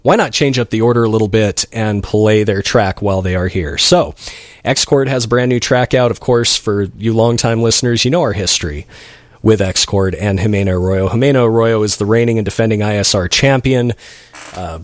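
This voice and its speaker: A man's voice: on the low side, fairly quick, no room sound. A man hosting a radio music show, introducing tracks in a casual, chatty, enthusiastic way.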